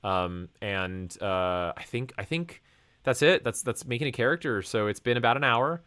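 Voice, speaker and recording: A man's voice: medium pitch, moderate pace, pushed and bright, clean tone, no echo. A man hosting his stream, chatting casually in a rambling way with many restarts. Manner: rambling, full of fillers and restarts. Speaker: streamer and host. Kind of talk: casual chat.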